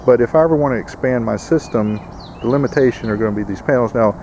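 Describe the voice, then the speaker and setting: A man's voice: mid-range voice, fast, darkish tone, slight background noise. A man giving a casual, plainspoken instructional vlog in a folksy way, like a host showing viewers his homemade setup. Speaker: host of homesteading video channel.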